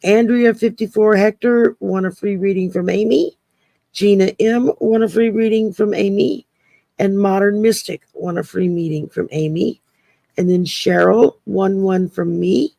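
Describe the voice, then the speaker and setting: A woman's voice: mid-range voice, steady tone, unhurried, vocal fry, mellow, no room sound. A woman co-hosting a livestream, chatting in an upbeat way as she thanks the viewers and signs off. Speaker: co-host. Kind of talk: casual livestream sign-off.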